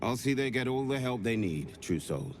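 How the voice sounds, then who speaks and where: A man voicing a gruff male cult officer who speaks curtly and defers to his superiors. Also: medium pitch, sing-song, moderate pace, a little hiss or hum, lightly acted.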